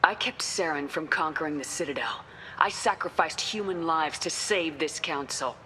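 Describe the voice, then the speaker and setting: A woman's voice: sits low, normal speed, pushed and bright, slight background noise. An actress playing a resolute, no-nonsense female space soldier in a video game, direct and assertive. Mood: insistent. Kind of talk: video game dialogue acting.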